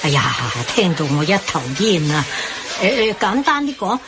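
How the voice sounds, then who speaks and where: A woman's voice: mid-range voice, sing-song, moderate pace, background noise, lightly acted. A woman with a Hong Kong accent playing a baffled, exasperated member of a chatting circle in a radio drama. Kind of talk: Cantonese radio drama banter.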